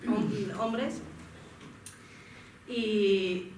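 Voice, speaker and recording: A woman from Finland with a Finnish accent, moderating a panel in her second language, pausing and correcting herself as she searches for words. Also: mid-range voice, fairly even intonation, a little mellow. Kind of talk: panel talk to an audience. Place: lecture room. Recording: echoey room, mic at a distance, noisy.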